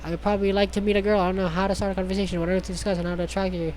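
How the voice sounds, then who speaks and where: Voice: mid-range voice, flat delivery, racing, darkish tone, a little hiss or hum, poor audio. A streamer reading a visual novel aloud in a casual way, stumbling over the text and dropping sarcastic, sweary asides.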